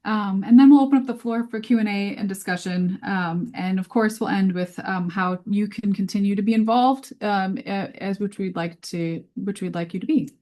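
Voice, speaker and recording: A woman scientist speaks in a webinar over a video call, her pitch in the middle range for a woman. Her delivery is informal and explanatory. She tends to think aloud, hesitating and rephrasing as she goes. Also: normal speed, hardly any pauses, warm tone.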